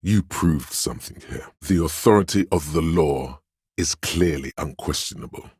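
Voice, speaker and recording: An actor playing a stern, commanding inner voice of authority in a video game, speaking in a grave, imperious, theatrical way. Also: male voice, a bass voice, normal speed, darkish tone, breathy edge, dry recording, studio quality. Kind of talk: dramatic scripted game narration.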